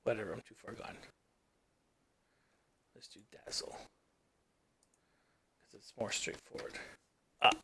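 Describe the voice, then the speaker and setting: A man's voice: unhurried, long pauses, bright, forceful tone, slight background noise. A man hosting his own channel, thinking aloud as he works on his screen, rambling and trailing off into mumbles. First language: English. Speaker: gaming channel host. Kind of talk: casual screen-recording commentary.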